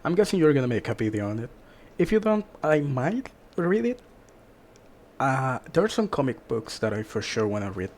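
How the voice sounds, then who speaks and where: A man's voice: medium pitch, sing-song, moderate pace, darkish tone. A man giving casual, informal reaction commentary as a streamer, musing aloud as he goes.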